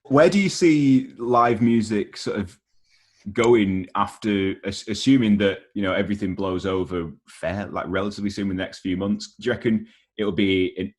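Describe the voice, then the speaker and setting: A British man with a fairly low voice hosts an informal chat interview. He puts questions in an easygoing, slangy style. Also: moderate pace.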